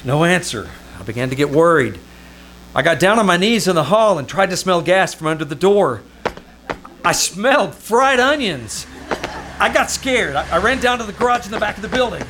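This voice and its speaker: A man's voice: high-pitched, big pitch swings, normal speed, a bit bright. A man acting in an old-time radio comedy as a wry, sardonic but fond publicity man, with dry, teasing, theatrical delivery and first-person narration. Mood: alarmed. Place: small-town theater stage, live performance. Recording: live stage recording with background noise.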